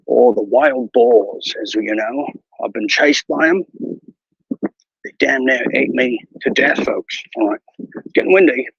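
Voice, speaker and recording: A man playing a bumbling, boastful wilderness ranger who hosts a mock nature show, talking in a mock Australian accent with hushed, mock-serious narration and a husky voice. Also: medium pitch, no echo, lo-fi sound, heavily acted. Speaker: mock wildlife show host. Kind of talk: improvised parody nature documentary.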